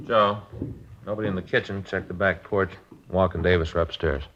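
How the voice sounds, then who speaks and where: An actor playing a practical, matter-of-fact American police officer, the sidekick in an old radio crime drama. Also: male voice, deep voice, steady tone, a little fast, a bit bright. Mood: alert. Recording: muffled, noisy vintage radio recording, off-mic.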